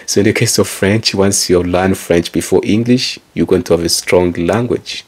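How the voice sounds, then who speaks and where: A man's voice: low-pitched, normal speed. A man whose first language is Kikongo, giving a rambling motivational monologue and addressing listeners like a presenter. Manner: rambling, circles back with repeated phrases. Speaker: author and speaker.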